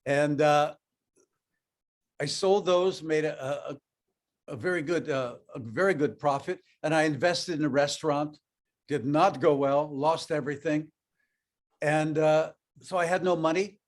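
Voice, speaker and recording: An older man with a slightly higher voice than most tells a personal story to an audience. He grew up speaking Ukrainian and talks in a loose, conversational way with plenty of fillers. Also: a little slow. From Europe, raised in North America. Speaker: network marketing speaker.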